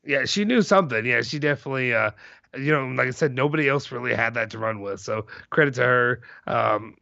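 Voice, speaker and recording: Hoarse, gravelly man hosting a live chat. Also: medium pitch, fairly quick, sharp tone, dry recording, dull audio. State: voice more hoarse than normal. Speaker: host of a football fan live show. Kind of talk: casual live chat, reading out and answering viewer comments.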